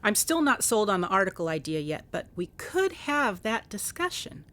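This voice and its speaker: Actress as female investigator, radio drama lead: shrewd, direct, takes charge, medium-pitched voice. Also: very animated, moderate pace, lightly acted. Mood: determined, scheming. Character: no-nonsense female investigator, shrewd, protective, commanding. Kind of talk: contemporary radio drama acting.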